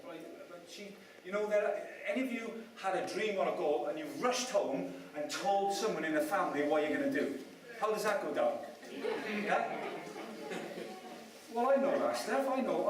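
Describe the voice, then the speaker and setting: A man raised in Wales gives a chatty, self-mocking talk to an audience, often tossing questions at them. His voice sits a little high. It is heard from a distance in a strongly echoing room with faint noise behind. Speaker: speaker at a property event. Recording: echoey room, mic at a distance.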